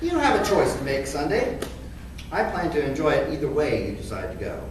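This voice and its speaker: A man's voice: fairly high pitch, fairly quick. A man playing a wry, exasperated cat owner, telling a comic first-person story theatrically in a stage read-through. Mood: exasperated, teasing. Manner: animated, expressive delivery. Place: indoor theater stage, recorded from afar. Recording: echoey theater, distant mic, faint noise.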